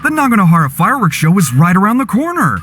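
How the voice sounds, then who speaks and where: A man's voice: high-pitched, very animated, fast talker, sharp tone, slight background noise, exaggerated voice. An actor playing a flustered, pleading young male apprentice smith.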